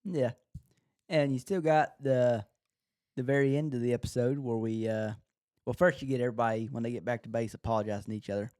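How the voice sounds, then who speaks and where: Guy co-hosting a podcast, medium pitch, dry sound, just chatting about tv. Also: fairly even intonation, a little fast, darkish tone, no room sound. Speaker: podcast co-host. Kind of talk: casual TV reaction chat.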